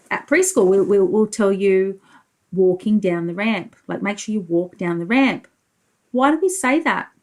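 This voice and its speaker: A woman's voice: fairly low pitch, darkish tone. A woman preschool teacher reading a picture book aloud to young children, explaining things and asking simple leading questions.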